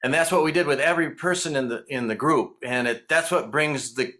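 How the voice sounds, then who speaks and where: Middle-aged Michigan man, bright forceful tone, rambling guest who chuckles between thoughts. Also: a little high, fairly quick. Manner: rambling, chuckling, loose storyteller. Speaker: guest musician. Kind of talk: casual interview chat.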